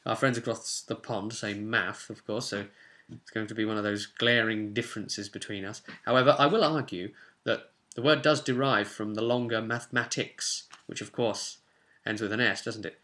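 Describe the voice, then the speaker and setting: A man's voice: lowish voice, fairly even intonation, normal speed, pushed and bright. A man in his twenties with an English accent, chatting casually to viewers in a tutorial with wry asides. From England. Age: 20s. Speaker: host of tabletop roleplay channel. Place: small home room, indoors.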